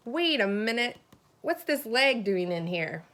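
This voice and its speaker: A woman teacher reading a children's picture book aloud expressively, pausing to put questions and asides to her young listeners. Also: a little high, sing-song, a bit bright.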